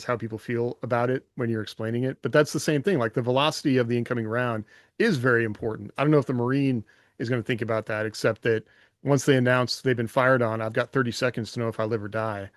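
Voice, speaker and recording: A man's voice: mid-range voice, dry recording, studio quality. A man co-hosting a podcast in casual discussion, talking things through conversationally with questions and comparisons. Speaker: podcast co-host.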